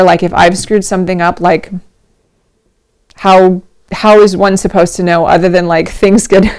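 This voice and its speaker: Slightly hoarse woman chatting casually. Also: mid-range voice, darkish tone. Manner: chatty, rambling, laughs often. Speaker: host of a knitting livestream. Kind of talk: casual livestream chat.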